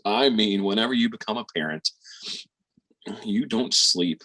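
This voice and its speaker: A man playing a gentle, devoted middle-aged Southern father in stage-play dialogue, speaking in a fond, coaxing way. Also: lowish voice, a little slow, low-quality recording. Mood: teasing, fond.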